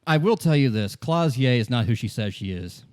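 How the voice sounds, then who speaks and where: A man's voice: mid-range voice, animated, normal speed, a little mellow, clear, resonant tone, lightly acted. A man playing a wary, bitter fugitive woman in a tabletop radio play, dismissive and sardonic, repeating himself for emphasis. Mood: guarded, insistent. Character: wary red-haired gunwoman in hiding, defiant and bitter.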